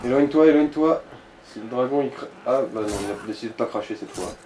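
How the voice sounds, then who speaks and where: A man's voice: mid-range voice, fast talker, darkish tone, echoey room, slight background noise. A man giving casual, coarse and slangy gaming commentary as he guides another player. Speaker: let's play co-host guiding the player.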